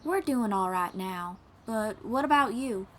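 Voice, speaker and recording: A woman's voice: medium pitch, expressive, unhurried, a little hiss or hum, natural voice. A woman playing a friendly young traveling bard in an audio roleplay, encouraging and reassuring, with a folksy drawl and casual phrasing. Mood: warm, welcoming. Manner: encouraging, reassuring, gently guiding. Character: friendly young female traveling bard who teaches riding. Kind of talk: second-person audio roleplay acting.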